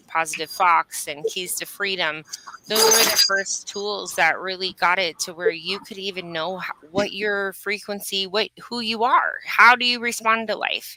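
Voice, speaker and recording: A woman's voice: on the low side, noisy background. A woman explaining things earnestly in a conversational coaching style on a video call, often checking in with the listener. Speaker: human design reader and coach. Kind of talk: conversational coaching on a live stream.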